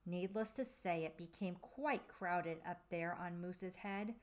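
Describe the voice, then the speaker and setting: A woman reading a children's picture book aloud expressively, with theatrical drawn-out emphasis and dramatic pauses. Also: fairly low pitch. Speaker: storybook reader for children.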